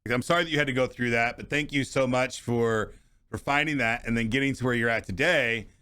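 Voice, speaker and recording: Bright, upbeat male podcast host. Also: mid-range voice, fairly quick, smooth. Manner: enthusiastic, friendly. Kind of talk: interview over video call. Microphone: studio microphone.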